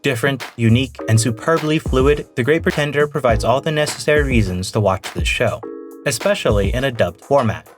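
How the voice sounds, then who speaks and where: A man's voice: medium pitch, a little fast. A man reading an earnest, argumentative scripted narration for a video essay. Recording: narration over music.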